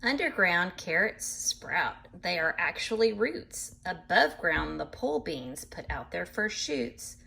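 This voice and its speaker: Slow-paced woman reading aloud to children. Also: fairly low pitch, creaky, a little hiss or hum, rough recording. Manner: animated, acts out animal sounds, addresses young listeners; explains patiently in simple words. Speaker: read-aloud storyteller for children. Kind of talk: expressive picture-book reading with simple explanations for children.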